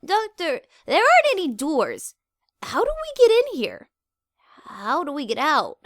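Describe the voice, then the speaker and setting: A high, bouncy young mare pony with a ditzy, excitable streak, as a woman reads her out. Her voice leaps up and down in pitch, recorded dry and close. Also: measured pace, dry recording, full character voice. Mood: exasperated. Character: cheerful young female pony companion, ditzy.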